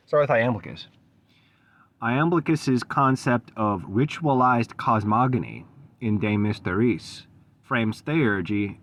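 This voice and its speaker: A man giving an unscripted solo talk for his video channel, measured and thoughtful, with frequent pauses, ums and restarts. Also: lowish voice. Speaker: esoteric channel host.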